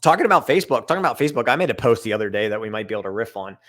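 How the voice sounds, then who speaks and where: A man co-hosting a podcast with a raspy voice, chatty and loose, repeating himself as he riffs. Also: on the low side, very animated, racing, a bit bright. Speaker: podcast co-host. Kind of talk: casual podcast conversation.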